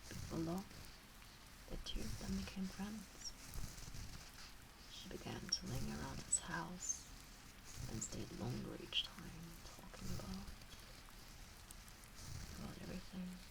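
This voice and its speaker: A woman voicing a gentle fox witch, telling a wistful tale in soft, breathy, often whispered ASMR storytelling. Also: lowish voice, lively intonation, very noisy, exaggerated voice. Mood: tender, wistful. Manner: hushed, breathy, measured with long pauses.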